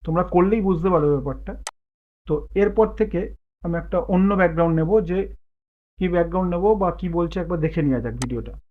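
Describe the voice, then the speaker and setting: A man with a fairly high voice teaches software step by step. He explains casually and thinks aloud as he works. The sound is thin and narrow, like a phone line. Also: brisk. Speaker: tutorial host. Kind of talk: step-by-step software tutorial, casual spoken instruction. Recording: muffled phone-like narow-band sound.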